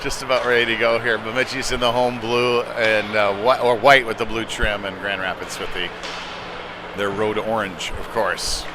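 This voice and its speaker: A man's voice: lowish voice, flat delivery, moderate pace. A man doing informal, conversational live play-by-play commentary of a hockey game. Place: indoor community ice rink. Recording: noisy ice arena ambience.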